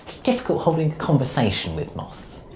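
Podcast host, male, from Australia; dry mock-grumpy humour, swooping pitch, noisy roomy sound. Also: wildly swooping pitch, brisk, darkish tone. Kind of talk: casual comedic chat. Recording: echoey room with background noise.

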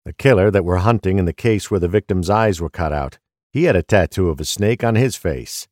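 A man's voice: low voice, animated, brisk, a little mellow, dry recording, studio quality. A man playing a serious, blunt police detective in a crime audio drama. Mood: intent, serious. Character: serious male police detective on a murder case.

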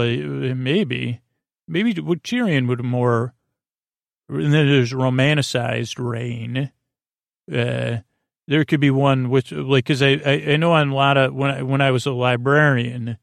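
A man hosting a bedtime podcast in a murmuring drone, meandering and halting as he stammers and repeats words. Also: medium pitch, creaky, no room sound, studio quality. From United States. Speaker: podcast host. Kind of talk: rambling bedtime podcast monologue.

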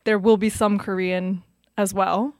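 A woman's voice: medium pitch, level tone, measured pace, no echo, studio quality. Bubbly young woman hosting an interview. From Canada. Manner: bubbly, laughs often. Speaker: interview host. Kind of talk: casual interview.

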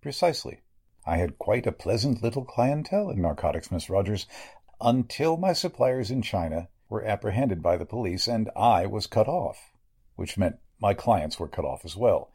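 Man acting a low, husky, sinister villainous doctor. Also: sing-song, a little mellow, exaggerated voice. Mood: calm menace. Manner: formal, measured, condescending villain speech. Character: sinister murderous male doctor, coolly menacing narcotics dealer. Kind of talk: 1940s radio drama acting.

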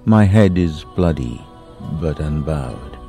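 A man giving a solemn, dramatic inspirational poetry reading, slow and deliberate with long pauses. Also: extremely low pitch, animated, over music. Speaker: poetry reader.

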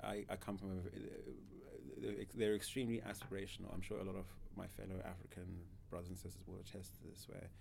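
A man's voice: deep voice, level tone, fairly quick, gravelly, hardly any pauses, darkish tone, a little hiss or hum. A man in his thirties from Zimbabwe, a podcast guest who talks hesitantly with many fillers and restarts. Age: 30s. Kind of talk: casual interview.

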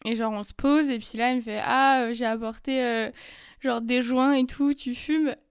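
Young woman podcaster, casual and chatty storyteller, lots of fillers; crisp tone, dry recording. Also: a little high, fairly even intonation, dry recording. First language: French. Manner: chatty, many fillers and quick asides. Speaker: podcast host. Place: lives in Germany.

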